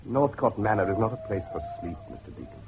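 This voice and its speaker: A man's voice: fairly low pitch, very animated, fast, background noise. An actor playing a brooding, fatalistic man of a haunted Victorian manor, speaking formally and ominously in a gothic drama. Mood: ominous, foreboding. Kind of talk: gothic horror drama acting.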